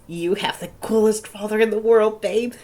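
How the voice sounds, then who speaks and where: A woman's voice: mid-range voice, steady tone, normal speed, a little mellow, close to an everyday voice. A woman playing a playful, fierce young partner in an audio drama. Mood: admiring.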